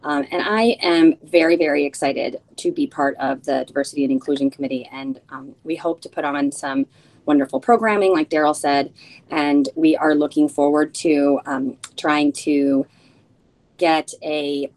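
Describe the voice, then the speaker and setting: A woman whose first language is Spanish, introducing herself as a bar committee co-chair in an earnest, halting way with many fillers. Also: sits low, darkish tone, low-quality recording. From United States. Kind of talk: informal spoken introduction.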